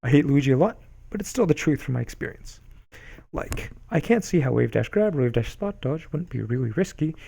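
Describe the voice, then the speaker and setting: A man reading online forum arguments aloud in a deadpan dramatic style, even speaking the punctuation. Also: medium pitch, a little fast, gravelly, breathy edge. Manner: reads punctuation and likes aloud flatly. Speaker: reader of online posts.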